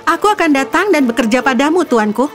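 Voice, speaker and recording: A woman's voice: high voice, lively intonation, brisk, soft background music. A woman voicing a brave, polite young heroine in a fairy tale, earnest and respectful. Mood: bold.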